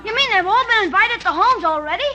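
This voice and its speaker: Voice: extremely high pitch, animated, quick pace, sharp tone, lo-fi sound, heavily acted. A performer playing an eager, cheeky young boy in a 1940s radio comedy. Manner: boyish, slangy, eager. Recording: noisy old-time radio recording.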